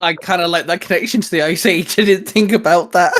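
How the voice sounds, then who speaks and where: A man's voice: high-pitched, fast, fairly bright tone. A man hosting an informal geeky discussion, thinking aloud and asking questions conversationally. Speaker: channel host. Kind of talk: casual geek discussion.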